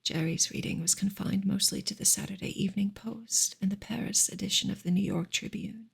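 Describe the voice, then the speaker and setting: A woman reads narrative prose in a hushed ASMR style. Her whisper is extremely breathy and airy, with a strong crackly, fried grain. The delivery is gentle, measured and unhurried. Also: medium pitch, steady tone. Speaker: ASMR reader of a classic novel. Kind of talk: whispered ASMR audiobook reading.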